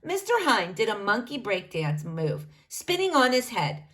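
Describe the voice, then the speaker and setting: A woman reading a storybook aloud to children in an expressive, animated narrating style. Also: medium pitch, measured pace. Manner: addresses young listeners directly, animated.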